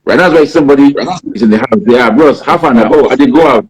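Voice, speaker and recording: A man's voice: medium pitch, quick pace, breathy edge, poor audio. Nigerian man rambling with many fillers. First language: Igbo. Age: 50s. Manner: rambling, many "you know" fillers and restarts. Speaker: writer being interviewed. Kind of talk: casual interview answers.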